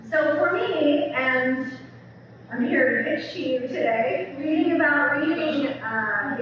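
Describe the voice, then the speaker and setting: A woman speaking as an English professor giving a public book talk in a conversational manner, often checking in with her listeners. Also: a little high. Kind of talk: public lecture, semi-scripted. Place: large reverberant room, recorded from afar. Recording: distant mic, echoey hall, noisy background.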